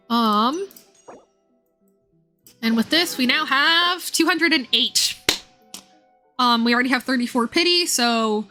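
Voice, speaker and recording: Bright, high-voiced woman chatting on stream. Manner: rambling, informal, slangy. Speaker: live streamer. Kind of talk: casual game-stream chat with viewers. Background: music.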